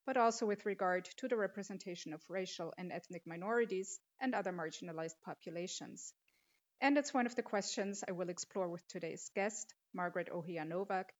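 A woman hosts a podcast, reading a scripted introduction in a measured, formal way. Her voice sits at an ordinary middle pitch. The recording sounds a little dull and lo-fi. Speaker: podcast host.

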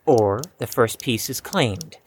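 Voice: medium pitch, lively intonation, slow, breathy edge. An actor playing a reserved, defensive young chess prodigy in an audio drama, with a guarded, precise, slightly stiff delivery. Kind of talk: scripted audio drama acting.

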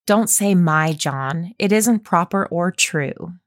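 A woman voicing a gentle, shy young woman with a soft, prim, earnest manner. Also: lowish voice, expressive, slow pace, dry recording, studio quality. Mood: protesting.

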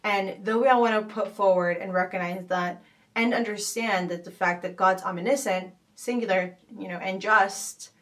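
A woman hosting a podcast, speaking in a thoughtful, casual way with frequent pauses, fillers and restarts. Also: mid-range voice, far from the mic. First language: not Arabic. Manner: thoughtful, many fillers and restarts, gentle laughs. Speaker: podcast host. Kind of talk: casual reflective talk.

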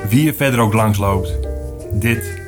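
A man performing a poetic audio play, narrating in deliberate fragments with pauses. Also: on the low side. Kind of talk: poetic spoken-word narration in second person. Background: loud music with trumpet.

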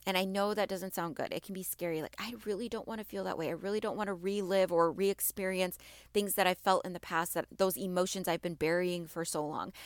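Woman podcast host, a bit raspy, lowish voice, dry close sound; encouraging, repeats herself for emphasis. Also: no room sound. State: slightly raspy from allergies and screaming. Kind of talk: motivational podcast talk.

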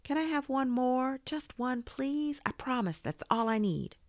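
A woman's voice: on the low side, very animated, moderate pace, vocal fry, a little mellow, dry recording, voice pushed up. A woman voicing a timid, needy little male plush toy in a storybook, pleading and hesitant.

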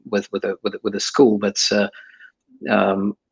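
A middle-aged man with a husky, breathy edge to a fairly low voice. He talks through his answers in a halting way, full of fillers and false starts. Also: monotone, lo-fi sound. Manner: hesitant, many fillers and restarts. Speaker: guest. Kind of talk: conversational interview answers.

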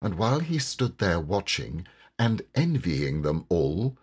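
A man narrating storybook verse expressively, with theatrical, measured and deliberate phrasing. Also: low voice, sing-song, slightly rough. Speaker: poetry reader and narrator.

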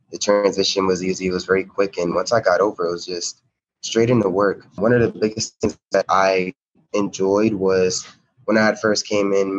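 A man's voice: deep voice, lo-fi sound. A young American man in his late teens, a student giving an earnest, reflective personal account in conversation. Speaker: student, podcast guest.

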